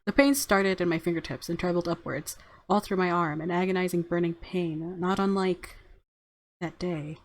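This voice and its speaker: A woman's voice: lowish voice, steady tone, normal speed. A woman hosting a gaming video, reading visual novel narration expressively and adding casual commentary. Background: game music.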